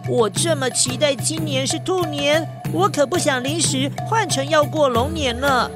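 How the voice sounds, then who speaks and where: A man's voice: very high voice, normal speed, loud music behind the voice. A man voicing a small, loyal turtle friend in a children's audio story, earnest and encouraging. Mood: determined.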